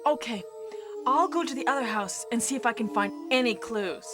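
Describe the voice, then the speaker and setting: A woman plays a determined young policewoman in a 1990s video game, her voice on the high side. The delivery is stilted and earnest, trusting in tone. Music runs clearly beneath her. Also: moderate pace, natural voice. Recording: game audio with music underneath.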